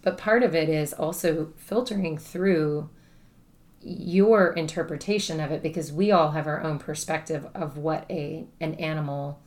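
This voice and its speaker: Low-voiced woman who projects. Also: steady tone, a little slow, a little mellow. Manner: chatty, thinks aloud with many fillers. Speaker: guest, spiritual teacher. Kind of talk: casual conversation.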